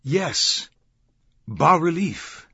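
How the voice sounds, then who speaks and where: An urgent professorial man, acted by a male narrator, lecturing with excitement. The voice is raised, forceful and husky, swinging widely, in a dry and slightly dull audiobook recording. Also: fairly high pitch, drawn-out words, no room sound, voice pushed up, exaggerated voice. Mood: triumphant. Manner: emphatic, lecturing.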